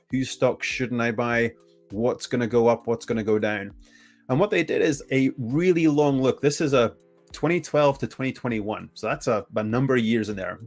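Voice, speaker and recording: A man whose first language is English, giving a conference presentation with energy and often restarting his phrases. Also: mid-range voice. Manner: energetic, self-correcting, frequent restarts. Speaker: conference speaker.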